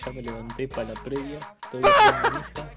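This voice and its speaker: A man speaking in a Rioplatense Spanish accent tells a casual story in an easy, relaxed manner. His voice rises and falls in a lively way. Loud music plays underneath him. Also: mid-range voice, normal speed. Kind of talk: casual chat.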